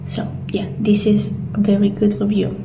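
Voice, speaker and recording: A woman playing a calm, polite futuristic clinic receptionist in a soft-spoken, gentle, unhurried ASMR roleplay. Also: medium pitch, creaky, roomy sound, background noise, lightly acted. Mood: softly reassuring. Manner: gentle, unhurried, reassuring customer-service talk.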